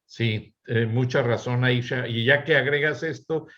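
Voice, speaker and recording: A man moderates a panel discussion in a calm, measured way and takes his time with every phrase. His voice comes through with a dull, lo-fi quality. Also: mid-range voice. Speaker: host.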